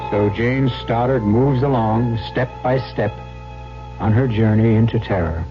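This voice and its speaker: A man with an American accent narrating a radio mystery as host, dramatic, measured and ominous. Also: lowish voice. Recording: muffled vintage radio broadcast. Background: music.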